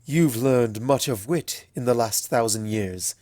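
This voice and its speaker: A man's voice: mid-range voice, unhurried, a little mellow, dry recording, close to an everyday voice. A man voicing a proud, dry-witted younger pony princess in a storybook reading, formal and guarded. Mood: wry.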